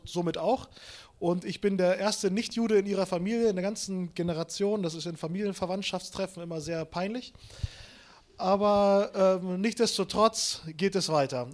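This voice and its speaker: A man in his late twenties, born in Kazakhstan, preaches while moving about. His voice sits high for a man. He mixes Bible reading with playful, self-mocking anecdotes. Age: 20s. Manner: lively storytelling with self-mocking humor, walks around while preaching. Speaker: pastor. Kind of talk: sermon.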